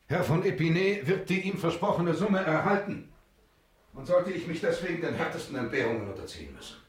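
A man in a German radio drama playing a proud, uneasy gentleman prosecutor, formal and guarded. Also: on the high side, very animated, a little fast, reverberant. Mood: proudly insistent.

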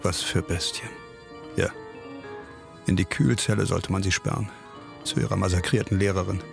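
A hard-bitten male investigator in a radio crime drama. His gravelly voice gives blunt orders and dry, sardonic remarks, with faint music underneath. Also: sits low, fairly even intonation, moderate pace.

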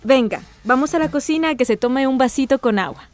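An actress as a wistful young daughter in a radio serial, high-voiced and earnest. She sounds affectionate and easily wounded, with music behind her. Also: brisk, close to an everyday voice. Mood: warm, welcoming. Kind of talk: radio drama acting.

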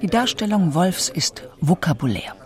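A man's voice: high voice, very animated, normal speed. A man reading out quoted literary criticism in a radio feature. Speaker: quote reader.

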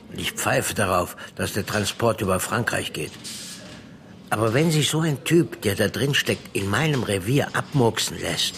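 Police inspector, low voice, blunt, slangy, shrewd, menacing, radio crime play. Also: male voice, gravelly, a bit bright. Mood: menacing, dry.

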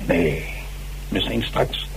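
An actor playing an ageing, self-dramatising stage actor jailed for murder, halting and theatrical, in a radio drama. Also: male voice, fairly bright tone, low-quality recording. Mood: resigned, grave. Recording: hissy old radio recording.

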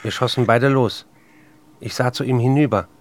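A man playing a tormented, intense writer who confesses and narrates in measured, intimate speech in a radio play. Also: medium pitch, steady tone, natural voice. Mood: haunted recollection.

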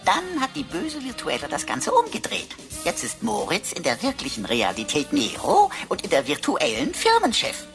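A woman's voice: medium pitch, huge pitch swings, moderate pace, gravelly, music in the background. A woman playing a cheeky, mocking computer sidekick in a radio play, sarcastic, slangy and theatrical. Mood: smug lecturing. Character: cheeky, mocking talking computer sidekick, flippant know-it-all. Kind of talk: German radio play acting.

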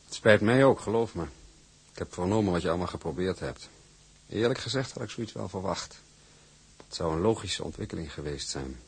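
Formal shipping boss for radio drama: gravelly, husky, measured and grave. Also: male voice, deep voice, animated, full character voice. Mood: grave, sympathetic. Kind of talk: Dutch radio play acting.

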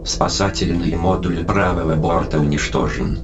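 Man voicing a deep, impassive ship's computer. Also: moderate pace, close to an everyday voice. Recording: dull sound under loud music.

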